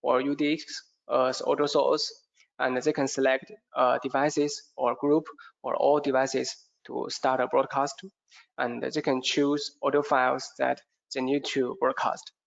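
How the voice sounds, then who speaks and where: A man presents a product as if in a training session. His voice is low-pitched for a male speaker and creaks with fry. He moves haltingly, hesitating and repeating words as he explains. The audio is dull and lo-fi. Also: little pitch movement.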